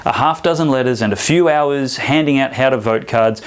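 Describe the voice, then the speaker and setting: A man's voice: medium pitch, moderate pace, clear, resonant tone. Earnest man urging action. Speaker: political commentator. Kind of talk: scripted address to viewers.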